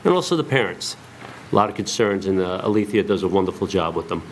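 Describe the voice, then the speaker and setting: A hoarse man giving a halting, formal presentation to a school board, full of fillers. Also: deep voice, very animated, slight background noise, poor audio. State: hoarse, lost voice.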